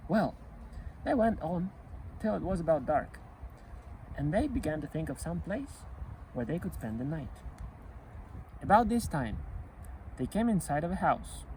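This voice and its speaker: A man reading a folk tale for children as a storyteller, in a sing-song, deliberate and expressive way. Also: on the high side, slight background noise, rough recording. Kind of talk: scripted children's storytelling narration.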